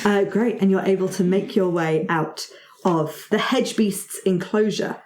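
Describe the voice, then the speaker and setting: A woman's voice: medium pitch, measured pace, a little mellow, slight background noise. A woman narrating a tabletop roleplaying game as game master, in a casual, improvised way. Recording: roomy, echoing sound.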